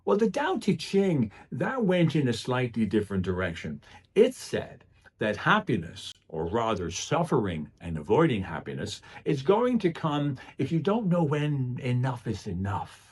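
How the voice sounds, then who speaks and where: A middle-aged man delivers a motivational talk in a husky voice. His intonation is very lively, rising and dropping across phrases. He sets out each point deliberately, with emphatic pauses in a storytelling style. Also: mid-range voice. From Ireland. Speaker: public speaker. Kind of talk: motivational club speech with storytelling.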